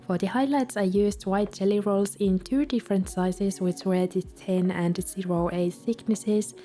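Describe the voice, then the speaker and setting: A woman with a Finnish accent speaks gently in a calm voice-over. Her tone is mellow and relaxed at a mid pitch. Soft music plays faintly beneath her voice. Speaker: bullet journal vlogger.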